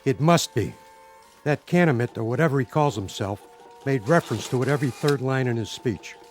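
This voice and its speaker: An actor playing an inquisitive, businesslike colonel in a radio drama. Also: male voice, medium pitch, expressive, brisk, faint music behind, close to an everyday voice. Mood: probing. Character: inquisitive, businesslike male colonel pressing for answers.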